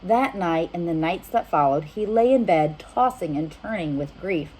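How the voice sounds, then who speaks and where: A woman's voice: lowish voice, lively intonation, moderate pace, a little mellow, husky, low-quality recording. A woman reading a picture book aloud as a children's storyteller, speaking directly to young listeners. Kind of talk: animated picture-book narration with asides to children.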